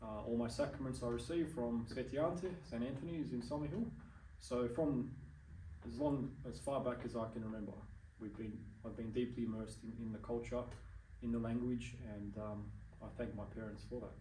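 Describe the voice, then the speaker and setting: A man's voice: medium pitch, fairly even intonation, normal speed, warm tone, echoey room, recorded from a distance, slight background noise, low-quality recording. A young adult Australian man in an interview, speaking earnestly and measuredly, with frequent ums and restarts. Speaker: interview guest. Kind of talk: casual interview chat.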